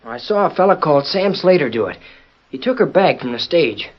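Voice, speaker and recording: A man's voice: a little high, lively intonation, fairly quick. An actor playing an eager, polite teenage boy of the Old West in an old-time radio drama. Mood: earnest. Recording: muffled old radio broadcast.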